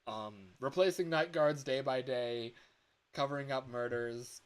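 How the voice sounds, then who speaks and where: A man's voice: mid-range voice, measured pace, smooth. A man chatting casually as a livestreamer and hobby game developer, rambling and repeating his phrases as he goes.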